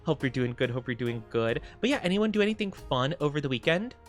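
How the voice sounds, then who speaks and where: Sniffly young man rambling softly. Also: medium pitch, sing-song, fast, faint music behind. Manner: soft-spoken, rambling, many fillers. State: sniffly, stuffed up. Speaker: streamer. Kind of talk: casual chat with live stream viewers.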